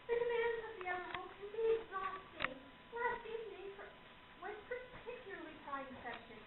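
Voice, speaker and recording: A woman's voice: very high voice, animated, normal speed. A woman playing a confident, provocative young spirit medium in a radio play, speaking in a solemn, theatrical manner. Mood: weary, gracious. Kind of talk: amateur radio play acting. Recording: echoey room, far off, tinny, very noisy.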